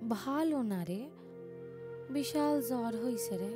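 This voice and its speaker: High-voiced, teasing village woman. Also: expressive, takes it slowly, over music. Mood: frank, teasing. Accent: rural West Bengal dialect. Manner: teasing, colloquial, scolding familiarity. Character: bold, teasing young village woman, plain-spoken and caring. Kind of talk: audio story acting.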